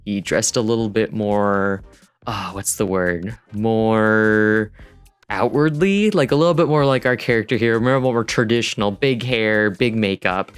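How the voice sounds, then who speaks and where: Low, smooth-voiced man rambling casually. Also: dry recording. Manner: informal, rambling, thinking aloud. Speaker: vlogger and indie game developer. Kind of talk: casual rambling voice-over commentary. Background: music under the voice.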